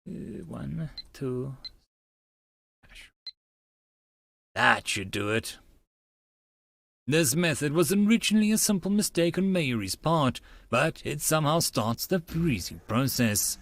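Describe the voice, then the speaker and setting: A man's voice: on the high side, very animated, slow pace, vocal fry, pauses often, fairly bright tone, dry recording. A man reading a visual novel aloud as he plays, with casual muttered asides. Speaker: narrator and game player.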